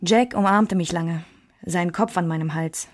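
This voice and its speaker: A woman's voice: fairly low pitch, moderate pace, lightly acted. An actress playing a wry, reflective woman recalling a love triangle, narrating in the first person in a German radio drama. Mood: tender.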